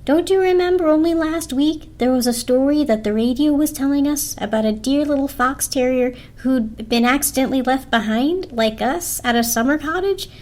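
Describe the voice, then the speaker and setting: A woman as an earnest, high-voiced little toaster. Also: moderate pace, hardly any pauses, a little mellow, natural voice. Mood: persuasive. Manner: sweet, reasoning, persuasive. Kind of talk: dramatic storybook reading. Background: orchestral film score.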